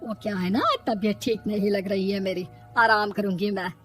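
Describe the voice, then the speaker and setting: A woman's voice: medium pitch, fairly even intonation, normal speed, soft background music, pitched below the performer's own voice, natural voice. A woman voicing a nagging, exasperated middle-aged mother-in-law in a Hindi cartoon story, scolding and mocking. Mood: evasive.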